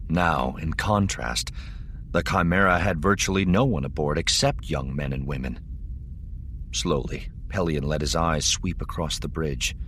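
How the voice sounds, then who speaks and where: A man narrates an audiobook in a deep voice. His delivery is dramatic and scripted, with faint noise behind him. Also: a bit bright.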